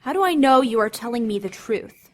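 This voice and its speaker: A woman's voice: on the high side, animated, a little fast, lightly acted. A woman playing an angry, hurt, domineering teenage girl in an audio drama. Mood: angry, demanding. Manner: bullying, hot-tempered, demanding.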